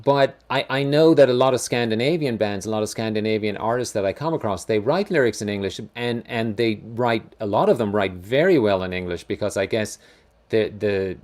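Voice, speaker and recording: A man's voice: on the low side, brisk, a little mellow. A man hosting a casual interview, friendly and often repeating words while he thinks. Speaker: host.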